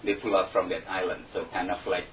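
A man with an Indonesian accent speaks in a very low voice, pausing and restarting as he recalls his volunteer placement. He sounds set back from the microphone. The sound is dull, with a faint hum behind him. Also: little pitch movement. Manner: hesitant, restarts, non-native phrasing. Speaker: returned volunteer. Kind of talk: informal talk to an audience. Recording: distant, dull mic with faint noise.

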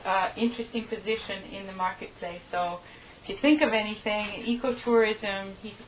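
A woman's voice: medium pitch, fairly even intonation, moderate pace, muffled recording, slight background noise. A woman hosting an event, introducing returned volunteers in a chatty, informal way with a few fillers. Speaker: event host. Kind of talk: introducing speakers at a gathering.

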